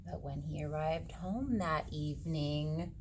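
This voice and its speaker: A woman reading a picture book aloud to children, dramatically, with hushed suspenseful moments and asides to her young listeners. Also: sits low, unhurried, noisy background. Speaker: read-aloud storyteller for children.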